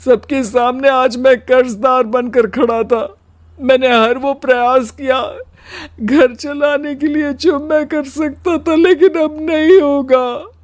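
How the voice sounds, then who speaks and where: A woman's voice: high-pitched, steady tone, slow pace, pitched above the performer's own voice. A woman voicing a weary, put-upon husband in a cartoon story, plaintive and sighing. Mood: tearful, anguished.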